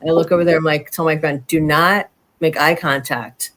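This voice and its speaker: Lively woman host from Chicago. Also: low voice, moderate pace. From Chicago, USA. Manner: animated, exclaims and reacts often. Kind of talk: casual chat and personal anecdote.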